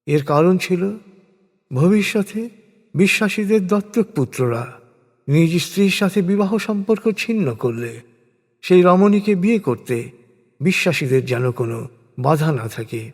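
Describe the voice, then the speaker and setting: A man reading translated scripture as a solemn, scripted narration, in formal, measured phrases with frequent pauses. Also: high voice, expressive, a little mellow. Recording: long hall-like reverb.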